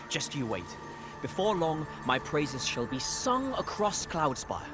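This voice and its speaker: A man's voice: on the high side, big pitch swings, slow pace. A man playing a boastful but good-natured wandering hero in a video game cutscene, grandiose and self-assured. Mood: proud, boastful. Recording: background music under the voice.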